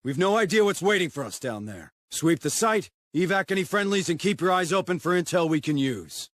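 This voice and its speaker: An actor playing a gruff, stubborn commander of space marines in a video game, giving clipped, commanding briefings with dry sarcasm. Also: male voice, high-pitched, expressive, fairly quick, fairly bright tone, no echo. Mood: commanding, focused. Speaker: military commander. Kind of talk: video game cutscene acting and mission briefing.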